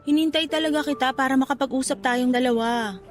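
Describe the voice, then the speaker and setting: A woman acting as a young girlfriend in a radio drama, with a high voice and earnest, emotional delivery. She is anxious and pleads with her boyfriend over faint music. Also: brisk, natural voice. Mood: pleading.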